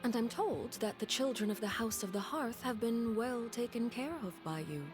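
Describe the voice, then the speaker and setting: A woman's voice: on the high side, close to an everyday voice. Composed, menacingly polite noblewoman played by an actress, music behind. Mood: veiled menace. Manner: polite, formal, measured, veiled menace. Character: composed, menacingly polite woman diplomat and Fatui Harbinger. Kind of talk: video game voice acting.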